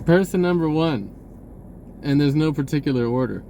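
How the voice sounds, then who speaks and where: A man's voice: fairly high pitch, animated, moderate pace, gravelly, dark tone, slight background noise. A man giving a rambling, spontaneous message in an informal, hesitant way, often rephrasing as he goes. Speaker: online video creator.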